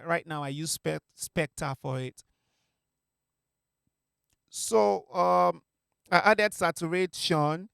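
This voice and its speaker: A man's voice: fairly high pitch, vocal fry, clear, resonant tone, no room sound. A young man, a music producer, talking through a vocal mix in a casual tutorial from his small home studio, with many fillers and restarts. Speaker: music producer teaching mixing. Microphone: studio mic on a stand.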